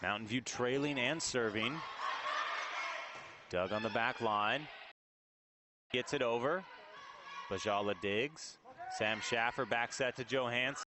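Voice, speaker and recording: A man's voice: medium pitch, slow, long pauses. A man doing live play-by-play commentary of a volleyball match in clipped phrases that rise on big plays. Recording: noisy gym with crowd behind. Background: crowd noise and ball bounces in a gym.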